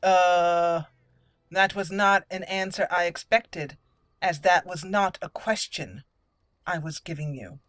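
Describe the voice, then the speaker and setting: A woman hosting a roleplay video game playthrough, moving between deliberate in-character lines and casual commentary. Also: lowish voice, fairly bright tone, no echo. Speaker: gaming video host.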